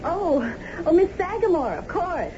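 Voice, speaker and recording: An actress playing a cheerful, polite and curious young wife in a naturalistic radio drama, chatty and easygoing. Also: female voice, very high voice, big pitch swings, measured pace, full character voice. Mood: polite, bright. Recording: muffled, noisy old radio broadcast.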